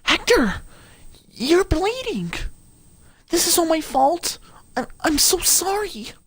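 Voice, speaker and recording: A man voicing a frightened, guilt-ridden young woman soldier in a strained falsetto, reading game dialogue aloud. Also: big pitch swings, takes it slowly, fairly bright tone, no room sound, heavily acted. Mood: distraught.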